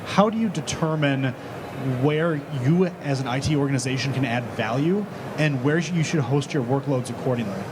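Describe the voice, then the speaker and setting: Man, steady explanatory delivery, average pitch, noisy conference floor. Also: normal speed. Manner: fluent, explanatory. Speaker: author on a panel. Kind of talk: panel explanation. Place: large conference stage.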